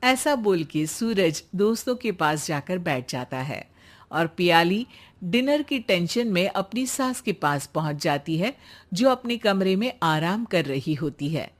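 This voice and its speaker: A woman narrating a bedtime cartoon story in a scripted, expressive way. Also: lowish voice, measured pace.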